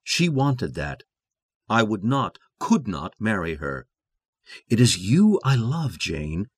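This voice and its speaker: An actor playing a brooding, passionate gentleman in love, with theatrical, intense delivery in a dramatized classic novel. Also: fairly low pitch, big pitch swings, slow pace, husky, dry recording, clean studio sound, heavily acted. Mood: ardent pleading.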